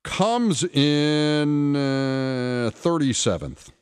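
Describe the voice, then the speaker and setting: A man's voice: on the high side, expressive, extremely slow, clean tone. A man with an American accent chatting casually and informally about sports as a radio host in a studio. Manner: conversational, informal. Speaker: radio talk show host. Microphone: studio microphone.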